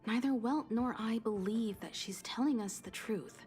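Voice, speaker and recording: A woman playing a composed, authoritative crew leader in an anime-style video game, formal and deliberate. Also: fairly high pitch, a little mellow, faint music behind, natural voice. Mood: composed, businesslike.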